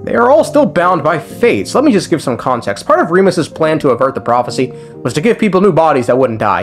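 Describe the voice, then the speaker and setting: A man giving a casual, joking recap commentary on game lore, with slang and swearing. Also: a little high. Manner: irreverent, joking, profane. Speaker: video creator explaining game lore. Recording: music behind the voice. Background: background music.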